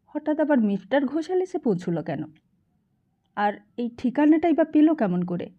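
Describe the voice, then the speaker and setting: A woman's voice: high-pitched, very animated, moderate pace, narrow, tinny audio. A woman reading a literary novel aloud as an audiobook narrator.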